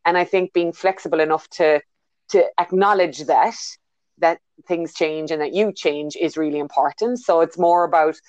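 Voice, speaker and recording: A woman's voice: sits low, normal speed, a little mellow, no room sound, lo-fi sound. An Irish woman in her thirties, a consultant being interviewed from her bedroom over a call, thoughtful and full of fillers and restarts. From Ireland. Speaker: guest, business consultant. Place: bedroom at home.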